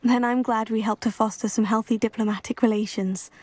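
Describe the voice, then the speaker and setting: Game lead: courteous, level-headed schoolgirl adventurer female actor, slightly high voice. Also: steady tone, a little fast, darkish tone, lightly acted. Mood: helpful. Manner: courteous, composed. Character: polite, helpful teenage girl student, calm adventurer. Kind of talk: scripted game acting.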